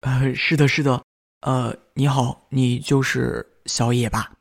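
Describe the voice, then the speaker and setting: A man playing a kind, patient young student in a radio drama, speaking in a gentle, hesitant, reassuring way. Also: medium pitch, little pitch movement, slow pace, gravelly. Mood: friendly, shy. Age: young adult.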